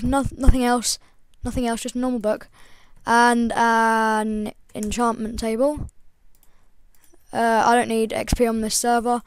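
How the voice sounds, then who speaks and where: A chatty young woman with a croaky voice talks through a game update, rambling and filling pauses with ums. Her voice sits fairly high, and the recording is dry and close. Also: no room sound. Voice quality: slightly croaky. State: croaky, voice breaking. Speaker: gaming channel host. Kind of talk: casual game tutorial voice-over.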